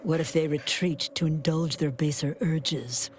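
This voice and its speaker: A woman's voice: low voice, steady tone, measured pace, soft background music. A woman voicing a greedy, penny-pinching corporate boss in a video game, pompous, theatrical and articulate. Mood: appalled.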